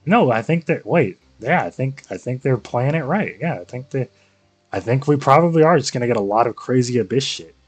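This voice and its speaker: A man's voice: mid-range voice, animated, brisk, husky, no room sound. A young man giving casual, slangy, rambling commentary on a game livestream. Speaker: game streamer. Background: faint game music.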